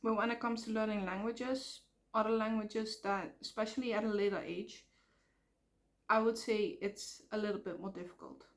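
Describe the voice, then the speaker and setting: A woman's voice: medium pitch, fairly even intonation, moderate pace. A woman from the Netherlands with a Dutch accent, talking in an earnest, rambling way with fillers and self-corrections in a personal vlog. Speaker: vlogger. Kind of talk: casual personal vlog talk.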